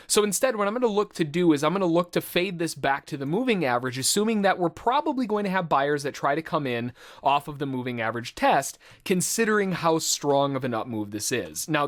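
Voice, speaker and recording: A man working as a trading instructor, giving informal, explanatory live commentary full of trading jargon in a conversational way. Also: a little high.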